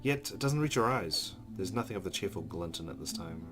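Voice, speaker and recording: A man's voice: low-pitched, expressive, fairly quick, over music. A man hosting a let's play, reading a visual novel's narration aloud with casual asides.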